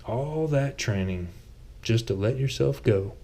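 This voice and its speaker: A man's voice: medium pitch, expressive, a little slow, warm tone. A man voicing a blunt, sardonic wanderer in a fantasy audiobook, with a wry, laconic, jeering way of talking. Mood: dry, jeering. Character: Lucian, sardonic, blunt tobacco-chewing wanderer.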